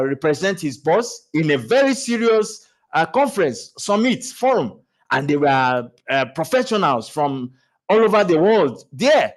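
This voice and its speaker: High-voiced man coaching on public speaking. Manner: animated, with many restarts and stammered repeats; laughs while talking. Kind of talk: motivational talk.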